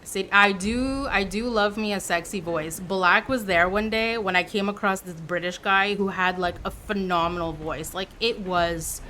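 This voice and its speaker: A woman's voice: medium pitch, moderate pace, few pauses, a little hiss or hum. A woman in her forties, from Canada, chatting casually and playfully with viewers on a livestream. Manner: chatty and expressive, teasing and playful.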